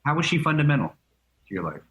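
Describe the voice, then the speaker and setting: A man's voice: a little high, big pitch swings, fast talker, a bit bright. A male radio co-host who reads out the lineup and asks the guest earnest follow-up questions. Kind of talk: reading the lineup and asking questions.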